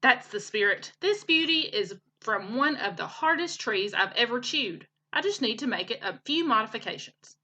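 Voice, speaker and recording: A woman's voice: fairly high pitch, expressive, moderate pace, hardly any pauses, sharp tone, a little hiss or hum. A woman voicing a confident, kindly male beaver craftsman from a children's storybook, folksy and encouraging in manner. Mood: hearty, confident.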